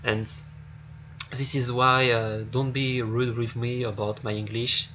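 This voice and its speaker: This is a man with a French accent, hosting a casual game review in English with a mid-range pitch. He stops often to hunt for words, filling the gaps with "uh" and repeated starts. The recording is a bit dull, with a faint hiss underneath. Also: little pitch movement, pushed and bright. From France. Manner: halting, searching for words, many fillers and repeated starts. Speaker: gaming YouTuber.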